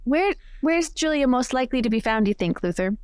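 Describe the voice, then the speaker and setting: Lively woman at a gaming table. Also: high-pitched, a little fast, clear, resonant tone, dry recording, studio quality. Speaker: player in a tabletop game. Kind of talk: casual game-table chat.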